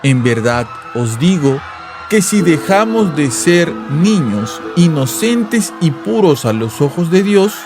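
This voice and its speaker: Predicador apocalíptico exaltado, voz alzada. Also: male voice, on the high side, very animated, takes it slowly, over music, voice pushed up. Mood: fervent, dire. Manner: imperative, vibrant, urgent oratory. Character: fervent apocalyptic revival preacher, imperious and menacing. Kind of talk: dramatized audiobook fire-and-brimstone sermon.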